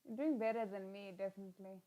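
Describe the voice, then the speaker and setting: A woman's voice: mid-range voice, fast, warm tone. A woman hosting a live reaction stream, chatting casually with viewers in an informal, encouraging and self-deprecating way. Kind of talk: casual livestream chat with viewers.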